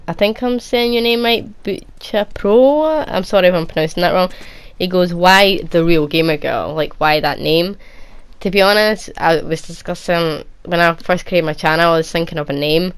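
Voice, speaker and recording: Scottish young woman with a low voice. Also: clean tone. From Edinburgh, Scotland. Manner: chatty, rambling, lots of restarts and "like". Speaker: gaming YouTuber. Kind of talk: casual Q&A chat.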